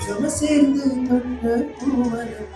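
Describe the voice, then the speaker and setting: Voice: medium pitch, slow pace, echoey room, music in the background. An audition contestant singing songs in a performance for a judge.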